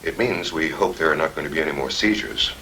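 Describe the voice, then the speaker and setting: A man's voice: a bass voice, fast. A man playing a reassuring but guarded hospital doctor in a naturalistic 1970s TV drama. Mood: guarded reassurance. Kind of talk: naturalistic 1970s TV film acting. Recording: faintly noisy old TV broadcast recording.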